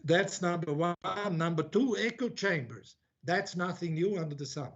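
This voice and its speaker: A high-pitched man who grew up in Switzerland delivers an academic conference talk. He thinks aloud with many restarts and drops blunt, informal asides. The recording sounds dull and muffled. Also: expressive, normal speed. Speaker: academic speaker.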